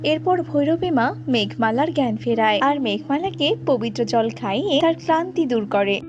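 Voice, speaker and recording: A woman with a very high voice narrates a children's fairy tale. Her delivery is scripted storytelling. The audio is slightly muffled, with faint music underneath. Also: lively intonation, moderate pace, darkish tone.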